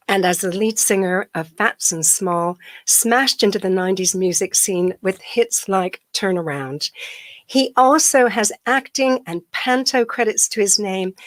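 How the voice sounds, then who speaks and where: A woman's voice: medium pitch, no room sound. Brief: woman host, middle-aged, cold in her voice, dry close sound, chatty and friendly. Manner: chatty and warm, laughs often. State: has a heavy cold. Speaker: radio show host. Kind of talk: radio interview.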